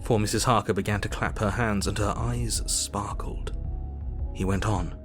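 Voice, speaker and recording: An actor playing a grave, observant Victorian doctor, narrating formally in an audio drama. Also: male voice, on the low side, steady tone, moderate pace, natural voice. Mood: grave, observing. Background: music.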